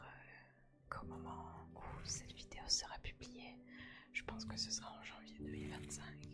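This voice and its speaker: A woman speaking in a breathy, unvoiced whisper, chatting casually and unhurriedly to viewers in an ASMR style. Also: a bass voice. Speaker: ASMR video creator and host.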